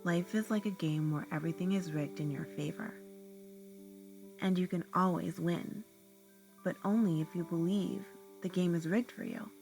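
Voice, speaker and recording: A woman guiding a relaxation meditation, speaking in a slow, soothing, soft-spoken way. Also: on the low side, faint music behind. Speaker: meditation guide. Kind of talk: guided meditation narration.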